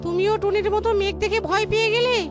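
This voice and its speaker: A lazy, henpecked crow husband from a kids' cartoon. He speaks in an extremely high voice, wailing and pleading melodramatically over clear background music. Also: fast. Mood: boastful, carefree. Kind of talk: children's cartoon voice acting. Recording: music behind the voice.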